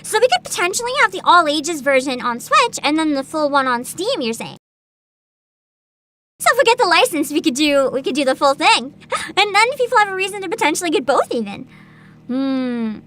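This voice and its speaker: A woman chatting casually to viewers as a livestream host, with a giggly, expressive manner full of interjections. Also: high-pitched, normal speed.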